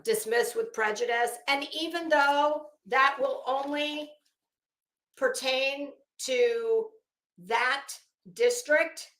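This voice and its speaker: Casting: female opinion commentator, slightly high voice, unhurried pace, emphatic and cuttingly scornful, foul-mouthed, dramatic pauses. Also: a bit bright, reverberant, poor audio. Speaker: online commentator. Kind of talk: informal opinionated commentary on a legal dispute.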